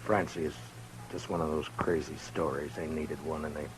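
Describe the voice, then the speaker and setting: An actor playing a stern, weary frontier marshal who speaks low and measured in a 1950s radio drama. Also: male voice, little pitch movement, poor audio. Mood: grave.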